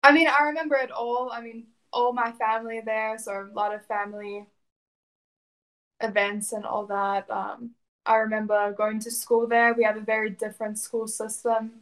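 A woman's voice: on the high side, flat delivery, normal speed, fairly bright tone, low-quality recording. A woman in her twenties, born and raised in South Africa with Afrikaans as a first language, answering interview questions about her life. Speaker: interview guest.

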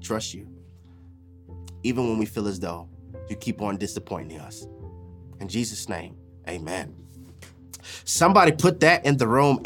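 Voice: mid-range voice, breathy edge. Dramatic, emphatic preacher over music. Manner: emphatic, dramatic swings between loud exhortation and hushed, deliberate phrases. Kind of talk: impassioned sermon with rhetorical repetition and prayer.

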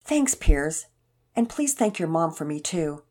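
A woman voicing a sweet, frail elderly man in a story, with a gentle, folksy, rambling manner. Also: sits low, sing-song, unhurried, full character voice. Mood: grateful, folksy. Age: older. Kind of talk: acted character dialogue in children's story narration.

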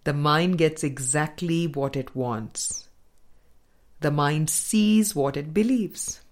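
Slow, deliberate woman narrator. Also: deep voice, a little mellow. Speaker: audiobook reader. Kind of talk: scripted spiritual book narration, reading a dialogue.

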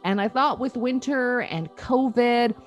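A woman's voice: on the high side, lively intonation, measured pace, faint music behind. A woman hosting a show and chatting with a guest in an interview style. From Canada. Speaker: show host.

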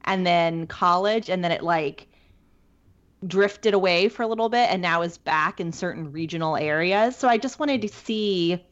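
A woman in her thirties co-hosting a casual podcast, musing along in drawn-out phrases. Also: fairly low pitch. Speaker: podcast co-host. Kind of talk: casual chat. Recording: dull lo-fi sound.

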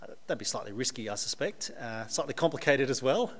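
A man's voice: mid-range voice, expressive, a little fast. A man with an Australian accent, preaching a sermon to a live audience in a conversational way, with dry humorous asides.

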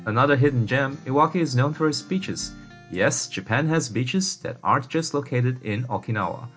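A male podcast host reading a scripted outro with enthusiastic, promotional warmth. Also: mid-range voice, lively intonation, moderate pace, highs cut off, faint music behind.

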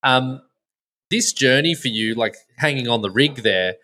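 Bright, chatty male podcast host. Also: mid-range voice, a little slow. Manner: relaxed, chatty questioning with fillers. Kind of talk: casual podcast interview.

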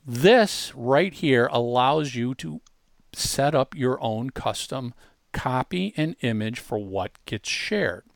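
A man hosting a casual software walkthrough, explaining informally and speaking straight to the listener. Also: medium pitch, no echo, studio quality. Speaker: host demonstrating software.

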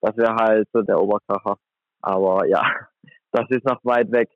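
A man's voice: fairly low pitch, moderate pace, no room sound, soft background music. A man in his twenties, a handball player, talking casually in an interview with many fillers. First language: German. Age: 20s. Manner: relaxed, chatty, many fillers like "sag ich mal". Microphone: phone. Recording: tinny phone line.

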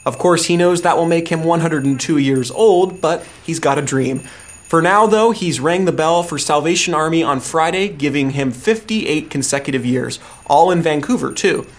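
Male local news anchor, slightly high voice, scripted delivery. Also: moderate pace.

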